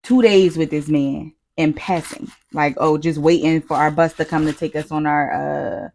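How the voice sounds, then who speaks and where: American woman w kinda low voice, cussing and slangy, telling a story on a podcast. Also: normal speed. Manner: slangy, profane, animated retelling with quoted asides. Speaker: podcast co-host. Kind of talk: casual chat, storytelling.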